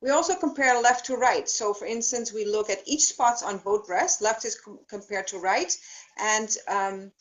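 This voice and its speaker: Dutch accent woman on a video call, guest explaining stuff step by step, lots of pauses, mid pitch. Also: poor audio. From Netherlands. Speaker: thermography practitioner, guest. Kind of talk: explanatory interview.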